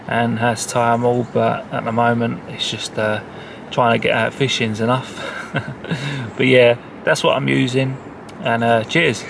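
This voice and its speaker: A man talking casually about fishing in a relaxed, chatty way, outdoors by a lake. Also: mid-range voice, creaky. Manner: relaxed, chatty, with fillers and laughs. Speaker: angler vlogger. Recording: noisy outdoor background.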